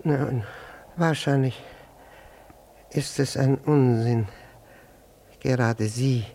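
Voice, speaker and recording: A man's voice: medium pitch, fairly even intonation, drawn-out words, dark tone, a little hiss or hum. A male actor in a German radio play, playing a flustered, jealous, nervously polite middle-aged husband who stammers and repeats himself. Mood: nervous, embarrassed. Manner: stammering, hesitant, repeats words, fussily polite.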